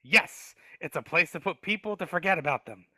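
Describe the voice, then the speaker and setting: A man's voice: on the high side, lively intonation, fairly quick, bright, forceful tone, dry recording, exaggerated voice. A man voicing a sly, grumbling male dwarf in a live video-call table read, hesitant and wheedling, stammering often. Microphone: video call mic.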